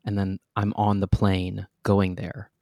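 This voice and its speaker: A man's voice: deep voice, completely flat delivery, measured pace, dark tone, no room sound, studio quality. A man in his thirties, a guest actor, telling a long story in a casual interview, rambling and restarting, laughing at himself.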